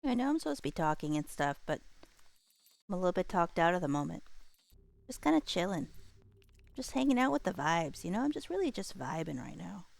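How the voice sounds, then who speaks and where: A woman's voice: on the low side, sing-song, fairly quick, vocal fry, long pauses, a little mellow. A woman livestreaming a game, chatting casually in a playful, silly manner. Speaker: streamer. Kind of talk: casual livestream chat while gaming.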